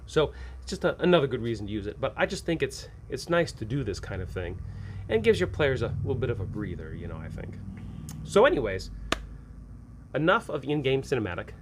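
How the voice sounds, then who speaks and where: A man's voice: medium pitch, big pitch swings, fairly quick. An American man giving casual, unscripted advice as a game master show host, in a rambling, conversational way. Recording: clearly noisy ambient background.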